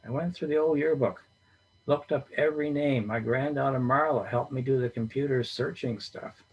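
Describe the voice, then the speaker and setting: A man's voice: medium pitch, moderate pace, slightly rough, highs cut off. A man reading as a blunt, wisecracking old man in his sixties, a school friend who teases dryly. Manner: dry teasing jokes.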